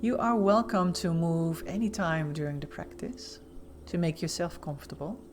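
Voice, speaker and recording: A woman leading a guided meditation as an instructor, giving gentle, soothing, softly spoken instructions with pauses. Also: fairly low pitch, background noise.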